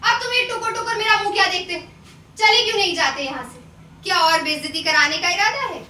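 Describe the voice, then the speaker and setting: Woman acting shrill, bitter courtesan on a distant stage. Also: fast talker, bright, forceful tone. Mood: angry, scornful. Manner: scolding, declamatory. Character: bitter, sharp-tongued courtesan, proud and fed up. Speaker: stage actor. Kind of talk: Hindi stage play acting. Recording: roomy, distant stage recording with noise.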